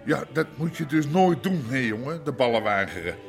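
An actor playing a middle-aged, grumbling old-school underworld boss in a radio drama, with an Amsterdam accent and blunt street talk. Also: male voice, medium pitch, very animated, moderate pace, creaky, faint music behind. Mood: blunt, bossy. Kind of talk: naturalistic radio drama acting.

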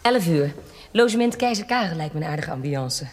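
Bold fake baroness in old-time radio play, crisp n commanding, bit of noise n clicks. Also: female voice, low voice, expressive, a little fast, a little mellow, long reverb tail. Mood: composed, businesslike. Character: bold, self-assured woman posing as a baroness. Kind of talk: historical radio drama acting.

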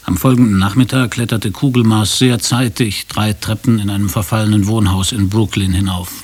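A man's voice: lowish voice, steady tone, normal speed, background noise. A man narrating a scripted radio drama in a dry, literary storytelling manner. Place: radio studio. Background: music.

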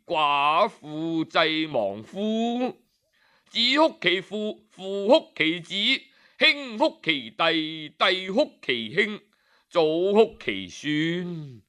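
Bright slow Cantonese storyteller man. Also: clean tone. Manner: dramatic, sighing, shifts pitch for quoted figures.

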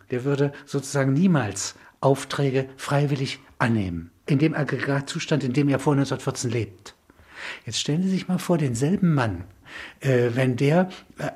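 A man with a very husky, breathy-rough voice at a medium pitch talks as an interview guest. He develops abstract ideas discursively, pausing mid-thought, stressing key words and adding short tag questions. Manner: discursive, halting emphatic phrasing with tag questions. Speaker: interview guest explaining a film concept. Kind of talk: spontaneous intellectual discussion.

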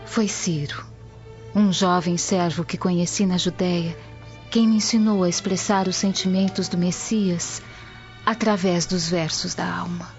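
Slow, serene, devout woman. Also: lowish voice, fairly even intonation, dull audio, faint music behind. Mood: reverent. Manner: dreamy, gentle, reverent.

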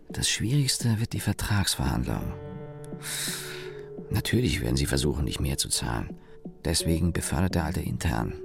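A man's voice: low-pitched, gravelly, heavily acted. An actor playing a cynical, disgruntled office engineer in a German radio play, dryly sardonic as he lists grievances. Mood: brooding. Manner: dry, sardonic, enumerating grievances.

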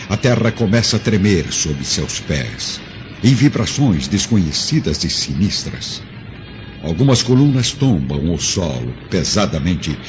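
A man's voice: deep voice, noisy background. A man giving dramatic, emphatic narration of a radio drama. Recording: dull lo-fi sound with music. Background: music.

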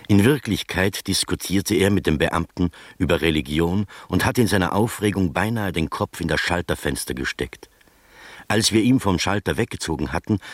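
Radio drama narrator: earnest, slightly anxious gentleman, low-pitched, articulate, dry sound. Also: no echo. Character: earnest, anxious male friend narrating a detective tale.

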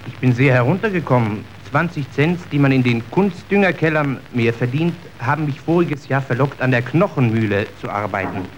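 An actor playing a younger, down-and-out worker, servile and pragmatic, in a radio drama. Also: male voice, medium pitch, a little hiss or hum, lightly acted, poor audio. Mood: pleading.

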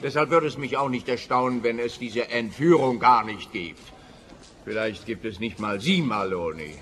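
A forceful, bright male voice ringing in a long reverb: a grumpy, weary cop, played by an actor in a radio play. He grumbles with long-suffering sarcasm. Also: mid-range voice, normal speed, a little hiss or hum, close to an everyday voice. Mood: weary, sardonic.

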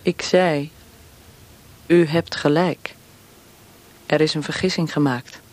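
An actress playing a cold, taunting secret police interrogator in a radio drama, speaking with controlled, coolly formal menace. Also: female voice, low-pitched, fairly even intonation, slow, darkish tone. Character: cold, mocking female secret police interrogator, perfectionist and cruel. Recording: noisy radio play recording with clicks.

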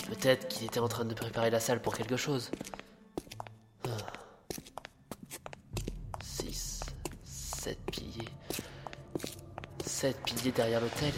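Un homme jouant un inspecteur de police fougueux et inventif dans une fiction audio, qui crie dans l'action et chuchote en se cachant. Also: male voice, mid-range voice, natural voice. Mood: hushed. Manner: shouts in action, hushed when sneaking. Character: brash inventive male police investigator. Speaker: police investigator. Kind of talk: French audio drama. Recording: long reverb, music behind.

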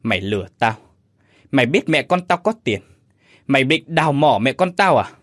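A man's voice: mid-range voice, lively intonation, fairly quick, a bit bright, no echo, studio quality. A man voicing a hot-tempered young man who feels betrayed, in an audio story. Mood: bitter anger.

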